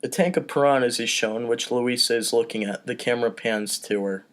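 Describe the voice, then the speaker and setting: A man's voice: mid-range voice, brisk, a bit bright. A man narrating stage directions in an informal comedy table read. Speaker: podcast performer reading stage directions.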